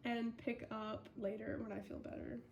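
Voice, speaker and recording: A woman's voice: on the high side, little pitch movement, normal speed, gravelly, a little hiss or hum. Congested young woman chatting in her studio. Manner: chatty, self-deprecating, apologetic. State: congested with a cold. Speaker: artist vlogger. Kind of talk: casual chat to camera. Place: home art studio. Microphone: phone as microphone.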